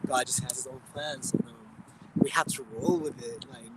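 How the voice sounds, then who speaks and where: A man in his thirties from the Philippines, raised in California, chatting casually in an outdoor interview, relaxed and rambling. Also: a little high, moderate pace. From Philippines, raised in Oxnard, California. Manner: relaxed, rambling, with many fillers and restarts. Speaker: interview guest. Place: outdoors by a bay, traffic nearby. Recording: loud outdoor street noise.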